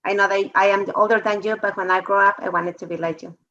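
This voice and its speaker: A woman's voice: medium pitch, fairly even intonation, a little hiss or hum. Woman teacher on a call. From Mexico. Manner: warm, emotional, halting phrasing. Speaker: fourth grade teacher. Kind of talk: video call discussion. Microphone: video call. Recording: dull lo-fi video call audio.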